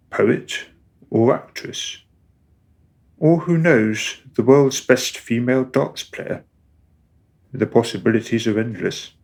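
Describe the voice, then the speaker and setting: A man narrating a radio play from a script in a measured storytelling manner. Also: mid-range voice, vocal fry.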